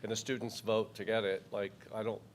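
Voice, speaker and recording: A man's voice: lowish voice, flat delivery, brisk, slight background noise. A man in a school board meeting thinking aloud hesitantly, with broken starts. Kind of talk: meeting discussion.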